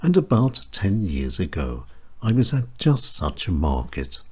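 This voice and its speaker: A man reading his own short stories aloud as a radio presenter, with a measured and expressive delivery. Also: slightly rough. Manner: measured, expressive delivery with wide swings in pitch. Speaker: storyteller and radio show presenter. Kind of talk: scripted short-story reading.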